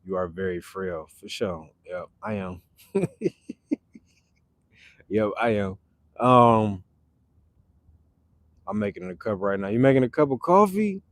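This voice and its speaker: A man's voice: lowish voice, animated, slow, long pauses, dry recording. A man hosting a casual livestream, chatting with viewers and answering their comments. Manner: laid-back, repeats phrases, reads chat aloud. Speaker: livestream host. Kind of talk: casual live chat with viewers.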